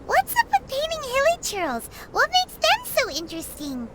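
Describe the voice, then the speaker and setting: A woman voicing a small, childlike, excitable fairy companion in a video game, with a tiny squeaky voice and an inquisitive, exclamatory manner. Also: moderate pace, very noisy, full character voice. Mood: curious. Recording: game music behind the voice.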